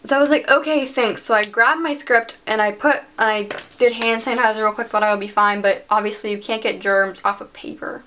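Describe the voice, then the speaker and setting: A woman's voice: on the high side, steady tone, brisk, few pauses, sharp tone, slight background noise. A teenage girl telling a personal story in a casual vlog, chatty and filling her sentences with "like". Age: teens. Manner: chatty, heavy use of "like". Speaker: vlogger.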